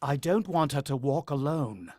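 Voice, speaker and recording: A man's voice: medium pitch, animated, moderate pace, darkish tone, slight background noise, close to an everyday voice. A man acting in a radio drama as a shaken, earnest, protective father with a hesitant way of explaining himself. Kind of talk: radio drama acting and first-person narration.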